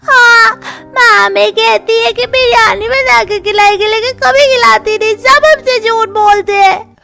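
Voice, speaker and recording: A woman's voice: very high voice, fairly even intonation, a little slow, creaky, heavily acted. A woman voicing an insistent young village child in a cartoon story. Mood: tearful, upset.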